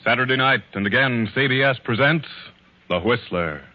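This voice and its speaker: A man's voice: medium pitch, lively intonation, normal speed, natural voice. A man working as a radio announcer in a dramatic 1940s broadcast style. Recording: narrow-band old radio recording, loud music. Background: loud music.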